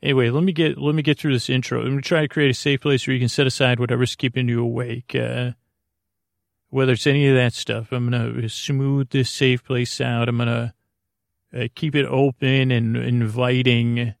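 A man hosting a sleep podcast in a gravelly voice, rambling through a halting, meandering bedtime monologue full of tangents and broken-off sentences. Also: medium pitch, level tone, no room sound, clean studio sound. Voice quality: gravelly, creaky voice. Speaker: podcast host.

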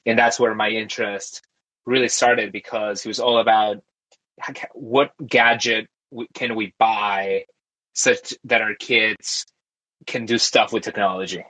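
A young man from Argentina, a guest talking casually in an interview, relaxed and talkative with frequent fillers and restarts. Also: on the low side, a little slow, low-quality recording. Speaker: guest, tech founder.

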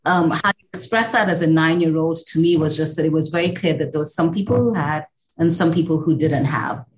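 A woman's voice: low voice, a little fast, roomy sound, slight background noise, low-quality recording. A woman from Kenya with a Kenyan accent, answering interview questions in a reflective, conversational way. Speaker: interview guest, executive director.

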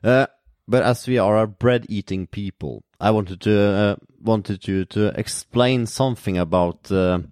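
Norwegian-accented man hosting, low dry voice. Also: measured pace, darkish tone, clean tone, no echo. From Norway. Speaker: podcast host. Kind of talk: podcast introduction and interview.

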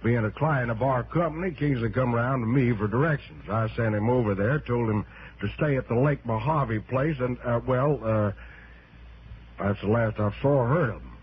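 A man's voice: mid-range voice, moderate pace, a little hiss or hum, natural voice. An American actor playing a folksy, talkative company man in a 1960s radio drama, colloquial and a little hesitant, on a muffled old recording. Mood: explaining, uneasy.

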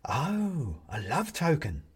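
A man's voice: on the high side, huge pitch swings, takes it slowly, husky, voice pushed up, heavily acted. A man voicing a fussy, chatty male ghost with old-fashioned, polite phrasing, in a storybook reading. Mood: curious.

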